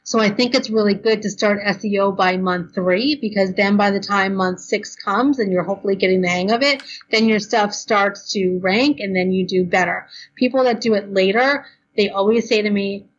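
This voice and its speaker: A woman guest on an interview, talking casually and quickly with lots of fillers, tired and a bit hoarse. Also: mid-range voice, dull audio. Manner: chatty, fast, lots of ums and you knows. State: tired, losing her voice. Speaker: blogger guest. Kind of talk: podcast interview, casual advice.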